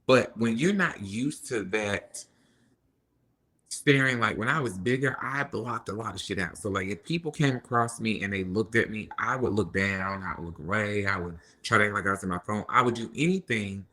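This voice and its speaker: A man talking as a podcast guest in a casual chat, telling lively stories and acting out what other people said. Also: on the low side, moderate pace. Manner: animated storyteller, acts out quoted people.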